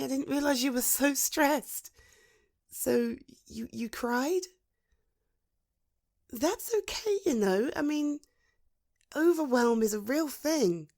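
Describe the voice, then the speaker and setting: A British woman playing a protective, supportive female friend in a conversational ASMR roleplay, soothing and encouraging, with thoughtful pauses. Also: high voice, a little mellow, full character voice. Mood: concerned, reassuring. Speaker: voice actor in an audio roleplay. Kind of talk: ASMR roleplay, conversational one-sided dialogue.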